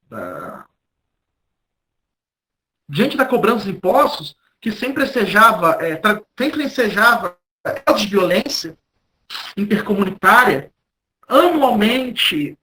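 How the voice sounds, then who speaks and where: Male Brazilian academic, sharp bright tone, halting lecture delivery with frequent rephrasing. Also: high voice. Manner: halting, repeats and rephrases words. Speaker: history professor, guest lecturer. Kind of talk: academic lecture, spoken off the cuff.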